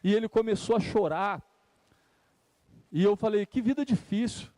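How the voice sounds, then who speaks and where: A man's voice: high-pitched, moderate pace, warm tone, no echo. A Brazilian man preaching a church sermon, repeating phrases for emphasis and speaking directly to his listeners in a conversational way. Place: live church service.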